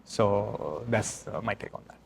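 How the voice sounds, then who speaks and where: A man speaking as an author at a book launch, answering audience questions in a halting, step-by-step way with frequent restarts. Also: lowish voice, gravelly, breathy edge. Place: event room with audience.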